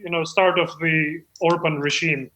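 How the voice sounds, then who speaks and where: A man's voice: on the high side, little pitch movement, normal speed, sharp tone. A man playing a deluded, eager amateur screenwriter who hosts a comedy show in an effusive, self-important manner. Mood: chatty, rambling. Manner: effusive, self-important, stumbling when off script. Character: deluded, eager amateur screenwriter hosting his own reading. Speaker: host. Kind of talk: comedic monologue and mock talk-show hosting. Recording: roomy echo.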